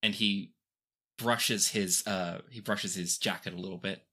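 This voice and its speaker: Very low-voiced woman narrating a game. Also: fairly even intonation, unhurried, pushed and bright, slightly rough. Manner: teasing, affectionate with players. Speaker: game master. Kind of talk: improvised narration of a tabletop game.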